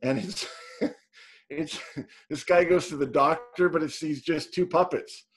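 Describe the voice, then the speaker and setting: A man's voice: on the high side, steady tone, soft background music. A man from Canada, a guest on a video call, chatting in an easygoing, agreeable way. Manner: easygoing, agreeable, quick to laugh along. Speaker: guest, sales coach. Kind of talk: casual video-call chat.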